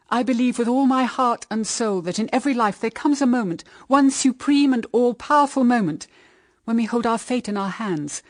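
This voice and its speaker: A woman's voice: medium pitch, no echo, clean studio sound, lightly acted. An actress with a British accent playing a middle-aged widowed mother, solemn and anguished, in radio drama style. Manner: solemn, intense, melodramatic. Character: anguished, bitter widowed mother pleading with her daughter.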